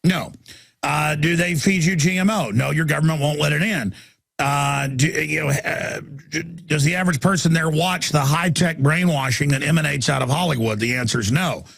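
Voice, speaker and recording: A man's voice: on the high side, moderate pace, vocal fry, a bit bright, breathy edge, dry recording. A man hosting a call-in radio talk show, talking emphatically in long rambling runs and often repeating his words.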